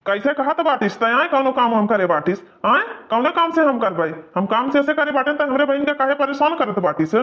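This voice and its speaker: A blustering, protective village brother from a cartoon comedy acted by a man, speaking with an Awadhi accent. His voice sits extremely high for a man, and the recording is dull and lo-fi. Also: expressive, fairly quick, few pauses. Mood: threatening.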